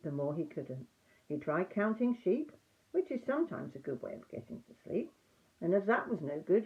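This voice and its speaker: An older woman reading a children's story aloud in an expressive, emphatic storytime manner. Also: fairly high pitch, narrow, tinny audio. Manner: animated, emphatic reading for young listeners.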